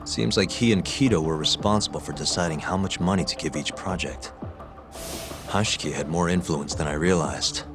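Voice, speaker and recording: A man playing a composed, sharp young private detective, speaking in a measured, probing and dry way in a game cutscene. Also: deep voice, music in the background. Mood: thoughtful. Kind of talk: video game cutscene acting.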